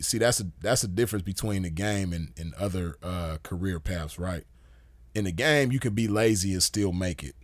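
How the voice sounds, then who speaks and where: A man's voice: deep voice, dry recording. A man giving a casual commentary monologue as host, laid-back and full of "you know" fillers. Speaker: podcast host.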